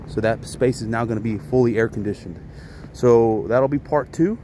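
A man's voice: medium pitch, moderate pace, mellow. A man giving a casual, explanatory on-site walkthrough as a tradesman, in an informal, plain-spoken way. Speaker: HVAC contractor presenting a job. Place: outdoors on a commercial rooftop. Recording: outdoor handheld recording with ambient noise.

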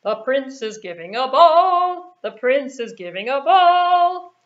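A woman voicing cruel, bossy, gloating stepsisters in a puppet show for children, loud and demanding. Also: high-pitched, sing-song, slow, dull audio, pitched above the performer's own voice, full character voice. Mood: gloating, mocking.

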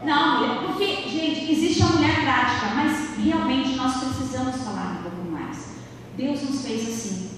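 High-voiced woman doing a marriage talk on stage, big echoey hall, mic picked up from far off. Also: normal speed, slight background noise. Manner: lively, playful, acts out little scenes. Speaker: marriage speaker. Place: large dark stage, church hall. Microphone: handheld mic.

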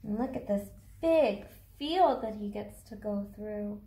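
A woman's voice: medium pitch, expressive, measured pace, warm tone, echoey room. A woman in her thirties reading a picture book aloud to young children, expressively, with simple questions for the listeners. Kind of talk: children's read-aloud storytelling. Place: small cardboard fort indoors.

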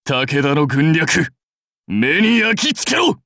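An actor playing a proud, fierce warlord general in a mobile game, terse, rough and commanding. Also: male voice, medium pitch, lively intonation, slow, no echo, clean studio sound. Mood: fierce battle shouting. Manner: terse, rough, declamatory delivery. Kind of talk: anime-style mobile game battle and dialogue voice lines.

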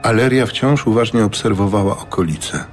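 A man narrating a scripted fantasy audiobook with measured, dramatic storytelling. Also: fairly low pitch. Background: music.

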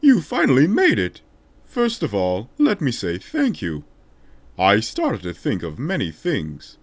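A man's voice: mid-range voice, wildly swooping pitch, a little slow, dry recording, exaggerated voice. A man voicing a solemn, remorseful king in a declamatory, dramatic way for a video game fan dub. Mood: solemn, reflective.

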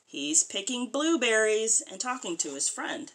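Expressive woman reading to a child, lively pitch. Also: unhurried. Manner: sing-song, expressive, child-directed. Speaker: storyteller reading a children's picture book aloud. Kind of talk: read-aloud to a young child, with questions and commentary. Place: small furnished room indoors.

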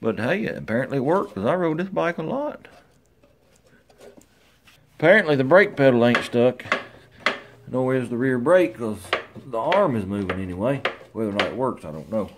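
A man's voice: mid-range voice, animated, normal speed, pauses often, background noise. Easygoing man talking through a garage repair. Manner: easygoing, wry, talks to himself while working. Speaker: garage channel host. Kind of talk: casual repair commentary. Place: large garage workshop, indoors.

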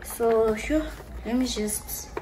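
A woman's voice: a little high, slow, heavy background noise. A young woman chatting to camera, gushing about her perfumes and slipping into local phrases. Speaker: vlogger. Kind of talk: casual chat to camera, showing perfumes.

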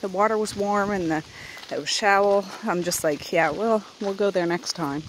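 A woman's voice: medium pitch, normal speed, a little hiss or hum, poor audio. A woman in her thirties from Nova Scotia, chatting to her camera and calling out to her kids on an outdoor walk. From Nova Scotia, Canada. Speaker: vlogger and mother. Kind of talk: casual family vlog while walking. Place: outdoors on a windy beach and forest trail. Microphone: handheld camera at arm's length.

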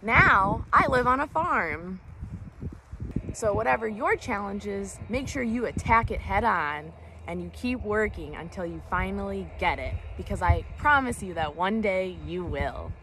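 A woman's voice: mid-range voice, lively intonation, measured pace. A young adult woman reading a children's story aloud as a storytime host, recorded outdoors on a camera a short distance away. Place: outdoors in woods by a creek. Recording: clear outdoor background noise, clicks.

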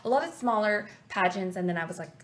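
A young woman from Canada, in her late twenties, talks as a guest into a desk mic in a small studio. She is earnest and reflective, and her thoughts ramble through fillers and restarts in a mid-pitched voice. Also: lively intonation, fast talker. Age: 20s. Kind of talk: casual interview chat. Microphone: desk mic on stand.

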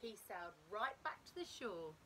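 A middle-aged woman reading a children's story aloud like a teacher, with deliberate, emphatic storytelling for young children. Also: mid-range voice, sing-song, fairly bright tone, a little hiss or hum. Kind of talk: expressive picture-book read-aloud.

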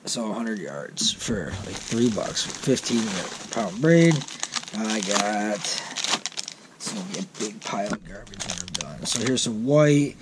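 A man's voice: fairly low pitch, very animated, slow pace. Easygoing garage vlogger, man, 30s, talks off the cuff into a body cam with packaging noise all around. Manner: rambling, self-correcting, easygoing. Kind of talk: casual unboxing chat. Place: home garage, seated on a boat. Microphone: wearable action camera. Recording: packaging crackle over voice. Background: crinkling packaging.

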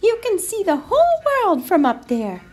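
A woman voicing a small, proud but nervous male ship's mouse in a children's storybook, squeaky and excitable. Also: a little slow, soft background music, heavily acted. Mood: confident. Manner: excitable, exclamatory.

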